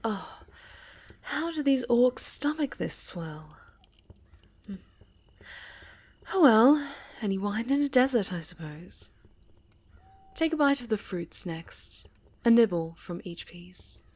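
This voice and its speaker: A woman playing a haughty, sinister necromancer villainess in a soft-spoken ASMR roleplay, silky, condescending and mockingly sweet, often sinking to a whisper. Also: on the high side, expressive, slightly rough, exaggerated voice. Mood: disdainful. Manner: condescending, silky, menacing, drawn-out.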